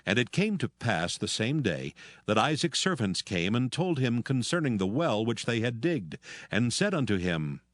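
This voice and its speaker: A man narrating scripture from a script in a formal, measured manner. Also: mid-range voice, sing-song, no room sound, studio quality. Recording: music behind. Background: music.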